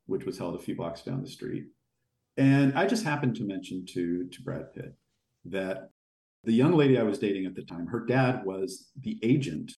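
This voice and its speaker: A man with a deep voice telling long, rambling anecdotes as a podcast guest. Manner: rambling, animated anecdotes with fillers. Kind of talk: casual storytelling in an interview. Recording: echoey room.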